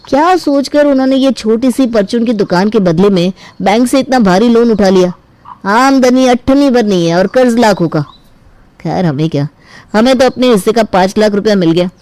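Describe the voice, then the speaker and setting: A woman's voice: fairly high pitch, lively intonation, moderate pace, a little mellow, no room sound, pitched above the performer's own voice, lightly acted. Woman voicing argumentative younger brother, dry sound. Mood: dismissive. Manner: argumentative, complaining. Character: self-interested younger brother demanding his inheritance share. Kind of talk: acted dialogue in a Hindi cartoon family story.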